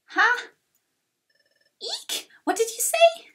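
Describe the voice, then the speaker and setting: A woman voicing a small, excitable, cheerful game creature in a squeaky, exclaiming way. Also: very slow, soft background music, full character voice. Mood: shocked.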